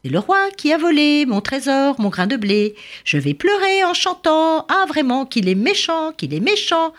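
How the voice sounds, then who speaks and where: A woman's voice: high voice, sing-song, moderate pace, fairly bright tone, voice pushed up. A woman doing a cheeky sing-song little bird. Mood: mock wailing. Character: small cheeky chattering bird, mocking and bold.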